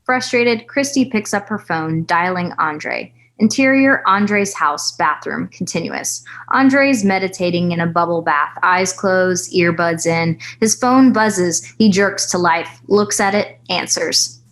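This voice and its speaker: A woman narrates a screenplay at a table read in a slightly low voice. She reads out scene headings and action descriptions in a scripted narration style. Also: lively intonation, takes it slowly, hardly any pauses, a bit bright. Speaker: stage-direction narrator.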